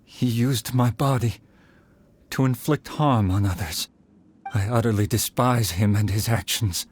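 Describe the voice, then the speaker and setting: A man's voice: mid-range voice, slight background noise, lightly acted. A man playing an earnest, honorable samurai swordsman in a video game, formal and composed. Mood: stern, resolute. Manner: formal, composed, measured wording. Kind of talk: dramatic video game voice acting.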